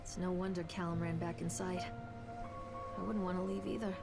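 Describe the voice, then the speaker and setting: An actress voicing a worried mother searching an amusement park, speaking in a measured, reflective way as game narrator. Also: fairly low pitch, little pitch movement, vocal fry, over music. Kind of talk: scripted game voice acting.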